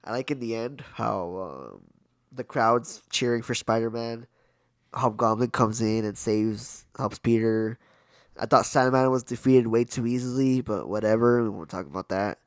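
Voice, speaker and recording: A young man co-hosting a podcast, with a hoarse, raspy voice, chatting casually through a film review with quick asides. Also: mid-range voice, dry recording, clean studio sound. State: hoarse from illness. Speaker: podcast co-host.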